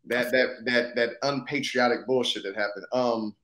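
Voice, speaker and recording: A man's voice: mid-range voice, steady tone, moderate pace, a bit bright. An American man in his thirties in casual conversation, informal and searching for his words, on a video call. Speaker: actor. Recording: echoey room on video call.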